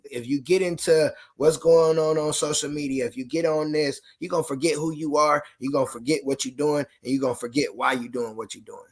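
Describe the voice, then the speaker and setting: A man talking as a podcast guest, direct and emphatic. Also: on the high side. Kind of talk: motivational casual talk.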